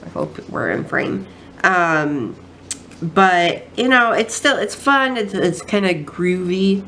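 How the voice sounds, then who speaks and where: Low, nasal woman with a head cold, meandering and full of ums; camera-mic home recording with light noise. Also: big pitch swings, slow pace, fairly bright tone. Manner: rambling with many ums and self-corrections. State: stuffy nose from a cold. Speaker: craft vlogger. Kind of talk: casual flip-through chat.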